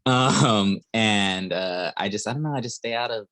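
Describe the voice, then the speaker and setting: A man's voice: fairly low pitch, moderate pace, a bit bright. A man in his thirties hosting a podcast, chatting casually and laughing easily with his guest. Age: 30s. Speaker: podcast host.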